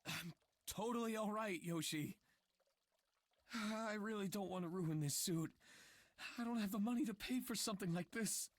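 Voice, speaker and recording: A man's voice: high voice, normal speed, no echo. A man voicing an anxious, self-effacing young man in a visual novel game, hesitant and apologetic, often stammering. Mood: nervous, evasive.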